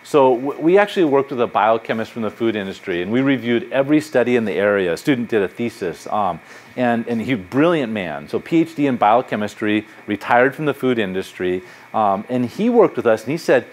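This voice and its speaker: Animated middle-aged man lecturing in a hall. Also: medium pitch, brisk, a little hiss or hum. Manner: animated, gesturing, conversational and anecdotal. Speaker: psychology professor. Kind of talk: public lecture with audience questions.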